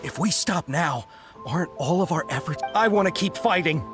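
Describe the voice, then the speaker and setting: Eager soldier girl in a game, lowish voice, swings around a lot, loyal and fervent. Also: animated, moderate pace, over music. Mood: pleading, determined. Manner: fervent, deferential. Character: earnest, zealous female soldier, loyal to her superior. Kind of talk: scripted video game dialogue.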